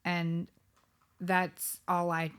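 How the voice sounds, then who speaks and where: Reflective woman, low voice, rambling. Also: monotone, drawn-out words, fairly bright tone, clean tone. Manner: reflective, rambling with many fillers and restarts. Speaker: podcast host. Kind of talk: casual chat.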